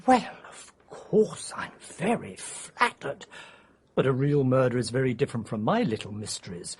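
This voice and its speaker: An actor playing an eccentric, self-pleased English male mystery writer in a radio drama, effusive and theatrical, with a husky voice. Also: medium pitch, big pitch swings, moderate pace, darkish tone, full character voice. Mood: musing.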